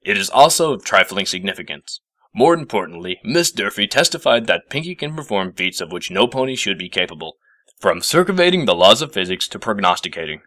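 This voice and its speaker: A man playing a gruff, pompous older male professor who speaks formally and gravely. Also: on the low side, big pitch swings, fairly quick, sharp tone, no room sound. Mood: grave, measured.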